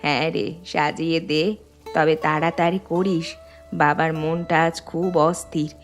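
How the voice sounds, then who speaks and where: A woman voicing a practical, soothing middle-aged village mother in a cartoon story, gently bossy. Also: sits low, little pitch movement, moderate pace, soft background music, natural voice. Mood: gentle, practical.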